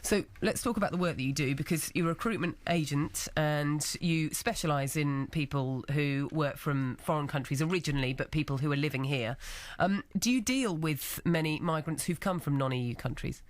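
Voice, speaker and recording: A woman's voice: low voice, moderate pace, few pauses, dry recording. A woman presenting a radio show, interviewing a studio guest with probing, conversational questions. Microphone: studio microphone.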